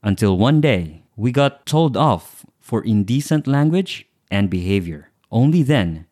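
A young Filipino man whose first language is Bisaya, hosting a podcast and telling stories in a lively way. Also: mid-range voice, unhurried. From Philippines (Cebu). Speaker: podcast host. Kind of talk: storytelling intro then casual chat.

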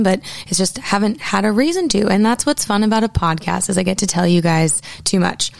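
Raspy-voiced young woman, chatty podcast host. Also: a little mellow, no echo. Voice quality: low raspy speaking voice. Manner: chatty, lots of "like" fillers. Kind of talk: casual solo podcast chat. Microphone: headphones on, close studio mic.

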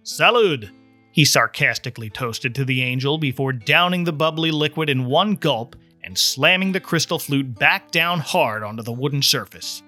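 A man reading a story aloud as scripted, expressive narration. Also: medium pitch, moderate pace, hardly any pauses. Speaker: story reader. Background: soft plucked guitar music.

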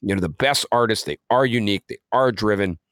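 A man's voice: lowish voice, fast, a bit bright, no room sound, studio quality. A man co-hosting a podcast in a casual, conversational way, often dropping in "you know" as he talks. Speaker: podcast co-host, music career coach.

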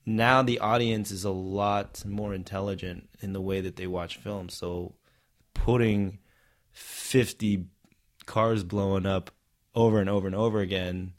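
Man, low-pitched, stunt performer, Vietnamese first language; casual, animated film talk with frequent laughs. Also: measured pace. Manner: animated, laughs often, acts out quotes.

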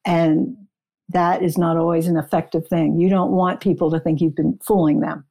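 A woman author answering interview questions in a measured, explanatory way. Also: deep voice, little pitch movement, vocal fry, dark tone. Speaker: author, podcast guest.

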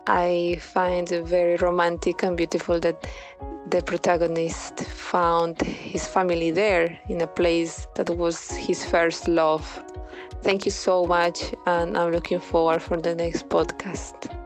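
A woman from Ecuador leaving a heartfelt voice message, speaking halting English. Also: on the low side, little pitch movement, faint music behind, low-quality recording. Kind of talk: casual voice message.

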